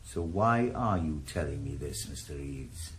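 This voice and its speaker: An older actor playing a sardonic retired ex-detective in a radio drama. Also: male voice, a bass voice, expressive, measured pace, a little hiss or hum. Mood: wry. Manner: dry, sardonic. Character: weary, wry retired male ex-detective.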